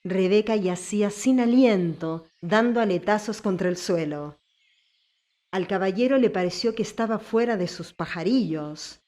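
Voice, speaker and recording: A woman's voice: mid-range voice, moderate pace, dark tone. A woman reading scripted story narration as an audiobook narrator.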